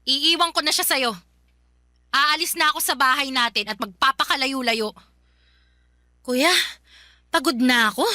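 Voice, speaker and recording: High-voiced, exhausted, resentful daughter. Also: female voice, sharp tone. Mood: resentful defiance. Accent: Filipino. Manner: emotional, pleading, halting with repeated phrases. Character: exhausted, resentful adult daughter fed up with caregiving. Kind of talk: Filipino radio drama acting.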